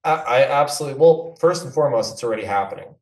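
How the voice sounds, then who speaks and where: A man's voice: medium pitch, animated, racing. A man from New York City, a company co-founder, explaining at length in a businesslike podcast interview. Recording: echoey room, far from mic.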